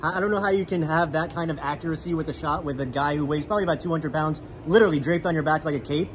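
A man's voice: a little high, a little hiss or hum. A man in his thirties, a guest sports reporter giving eager, casual game analysis in a hockey arena. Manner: quick, enthusiastic, many "I mean" fillers. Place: indoor hockey arena beside the rink. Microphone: phone camera at arm's length.

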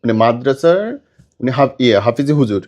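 A man's voice: medium pitch, a little fast, darkish tone. A man with a Bangladeshi accent hosting an interview, asking blunt, insistent questions and cutting in, over a slightly noisy recording. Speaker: host interviewing a guest. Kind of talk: probing conversational interview.

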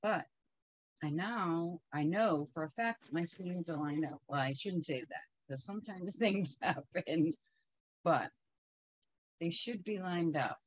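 A woman's voice: lowish voice, a little slow, poor audio. Chatty middle-aged woman quilting teacher. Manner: chatty, practical, talks while working. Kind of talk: casual sewing tutorial. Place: home sewing studio.

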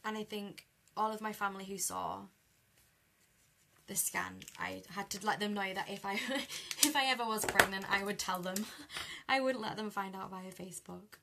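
A young British woman with a croaky voice chatting easily with live viewers while she colours at a craft desk. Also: medium pitch, steady tone, moderate pace, very noisy. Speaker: card-making demonstrator. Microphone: overhead camera over a desk.

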